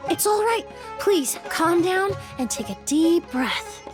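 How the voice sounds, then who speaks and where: An actress playing a composed young perfumer who speaks in a measured, reassuring way in a game scene. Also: female voice, very high voice, lively intonation, over music, heavily acted. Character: calm young woman perfumer, composed and gentle. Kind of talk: game cutscene dialogue.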